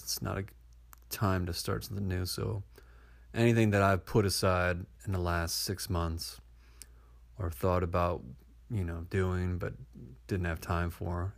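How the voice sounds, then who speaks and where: Low-voiced man, unhurried, reflective, folksy monologue. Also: gravelly. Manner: slow, thoughtful, folksy, with pauses and uhs. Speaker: contributor sharing reflections.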